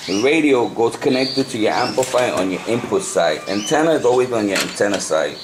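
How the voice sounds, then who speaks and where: A man with a Puerto Rican accent, a native Spanish speaker, gives a casual hands-on tutorial as a hobbyist, halting, repeating and correcting himself as he goes. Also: fairly low pitch, expressive. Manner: halting, repetitive, self-correcting. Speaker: amateur radio hobbyist giving a tutorial. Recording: noisy amateur camcorder sound.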